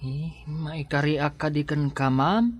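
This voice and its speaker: A man's voice: a little high, fairly even intonation, slow pace. An actor playing a humble, earnest young working man in love, speaking hesitantly and respectfully with an Ilocano accent in a radio drama. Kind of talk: Filipino radio drama acting.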